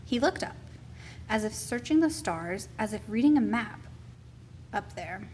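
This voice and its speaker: A woman reading a children's picture book aloud as expressive, deliberate storytelling. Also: medium pitch, a little hiss or hum, poor audio.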